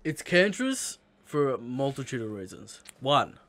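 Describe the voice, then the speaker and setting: A man's voice: medium pitch, very animated, moderate pace, fairly bright tone, slight background noise. A man co-hosting a podcast in casual chat, chiming in with short agreements and sarcastic jabs. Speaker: podcast co-host.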